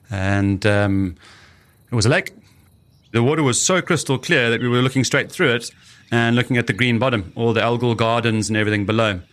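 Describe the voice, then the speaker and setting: A man's voice: medium pitch, fairly bright tone. A man giving a reflective, descriptive first-hand account as an expedition leader. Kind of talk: first-hand documentary recollection.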